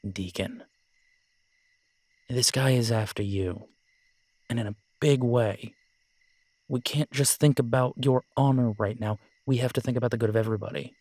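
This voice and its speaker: A man's voice: medium pitch, moderate pace, long pauses, darkish tone, no room sound, natural voice. A man with an American accent playing an easygoing, frank werewolf and former soldier in an audio drama, talking casually. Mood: earnest. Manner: casual, colloquial, hesitant phrasing. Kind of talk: dramatic audio roleplay acting.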